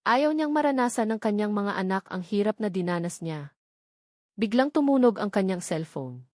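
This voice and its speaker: A woman with a Filipino accent narrating a dramatic story in a measured, sentimental way. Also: mid-range voice, lively intonation, darkish tone, no echo, clean studio sound.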